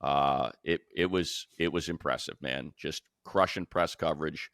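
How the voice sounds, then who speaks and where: A man's voice: rumbling low, a little slow, fairly bright tone, no echo, studio quality. An American man giving casual, emphatic football analysis as a show host, repeating phrases for stress.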